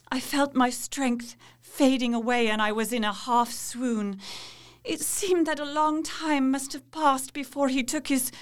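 An actress playing an anguished, devout Victorian wife, recounting her ordeal in a deliberate, theatrical dramatic monologue. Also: sits high, a bit bright, heavily acted. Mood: horrified.

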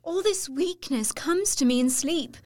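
A woman's voice: high-pitched, unhurried, mellow. An actress playing a frail, frightened, trusting young woman in an audio drama, speaking plaintively and earnestly. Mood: fearful.

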